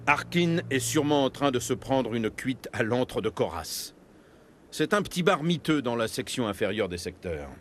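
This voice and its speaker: A grave, resolute military captain in a video game cutscene. His medium-pitched voice is serious and firm, over faint music. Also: big pitch swings, moderate pace, fairly bright tone. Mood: matter-of-fact.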